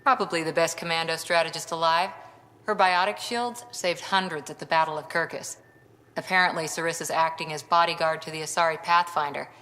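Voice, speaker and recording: A tough young lieutenant in a sci-fi game cutscene. Her voice is on the low side and earnest, carried in a long reverb. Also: fairly even intonation, fairly quick, sharp tone. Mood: admiring, flustered. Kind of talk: video game cutscene dialogue.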